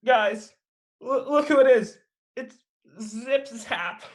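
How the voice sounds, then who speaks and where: A man's voice: extremely high pitch, takes it slowly. A man playing a chatty, teasing young superhero in an amateur table read, with casual delivery. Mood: mocking.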